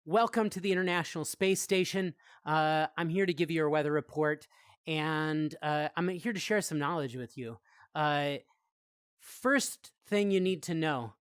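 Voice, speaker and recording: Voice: medium pitch, clear, resonant tone, no room sound, studio quality. A talk show host presenting in a playful way, with theatrical announcer flourishes.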